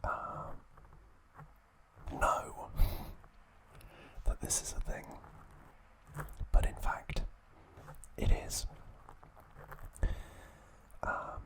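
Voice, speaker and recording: A young man hosting ASMR in a breathy, unvoiced whisper, halting and hushed, close to the mic. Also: rough recording. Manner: slow, hushed, halting with long pauses. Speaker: host of an ASMR channel. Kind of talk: ASMR, whispered informal trivia talk.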